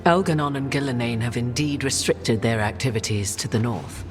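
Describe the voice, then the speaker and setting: A woman's voice: very deep voice, moderate pace, music in the background. An actress playing a haughty, enigmatic witch in a video game, with formal, arch and theatrical diction. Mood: solemn. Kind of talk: video game cutscene acting.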